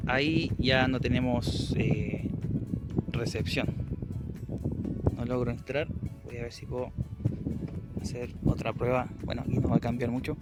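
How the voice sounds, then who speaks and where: Chilean male radio hobbyist, casual field vlog delivery outdoors, average pitch, clear music bed behind. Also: flat delivery, unhurried, pauses often, poor audio. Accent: Chilean Spanish. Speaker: amateur radio operator and vlogger. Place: outdoors on a hilltop.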